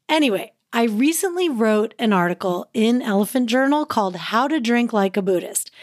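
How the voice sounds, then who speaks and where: A woman hosting her own podcast, talking alone in an upbeat, chatty way, with a slightly raspy voice and frequent laughs. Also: mid-range voice, dry recording, clean studio sound. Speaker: podcast host. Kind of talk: solo podcast monologue.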